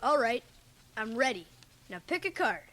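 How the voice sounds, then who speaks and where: A woman's voice: fairly high pitch, sing-song, normal speed, fairly bright tone. A woman playing an earnest, stubborn fourteen-year-old boy in a radio drama. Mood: eager, showy. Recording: noisy cassette transfer of 1980 radio drama.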